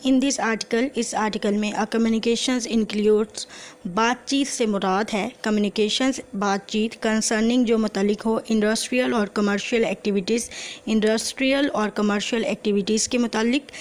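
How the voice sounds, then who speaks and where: A woman with a Pakistani accent teaching in a methodical, explanatory lecture style, reading out and translating formal text. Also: a little high. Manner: methodical, slow and deliberate when reading quoted text. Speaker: law lecturer.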